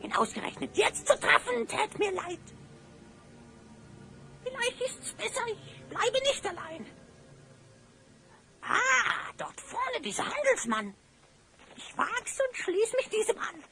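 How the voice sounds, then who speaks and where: A woman playing a sly, servile, greedy hyena spy in an audio drama, whining in shrill, creaky sing-song rhyme. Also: very animated, slow pace, pauses often, sharp tone, soft background music, full character voice, low-quality recording. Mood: nervous. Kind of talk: German audio drama acting.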